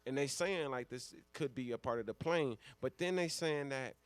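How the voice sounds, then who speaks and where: A man's voice: mid-range voice, moderate pace, no room sound. A man with an American accent chatting casually as a radio co-host, speaking in loose slang with heavy swearing. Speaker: radio talk show co-host. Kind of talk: casual live radio chat.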